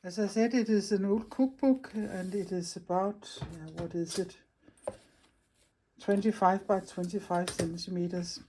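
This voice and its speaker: Danish woman, halting friendly chat. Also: mid-range voice, fairly even intonation, warm tone, husky. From Denmark. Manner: halting, searching for English words, friendly. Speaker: craft channel host. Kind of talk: casual craft vlog chat.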